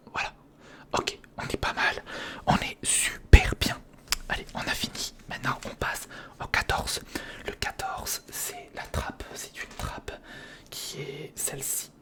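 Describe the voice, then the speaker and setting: Whispering man doing informal ASMR. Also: a little high. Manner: informal, thinking aloud, repeating words softly. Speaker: ASMR video creator. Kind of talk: whispered ASMR, narrating a hands-on build. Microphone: close recorder or studio microphone.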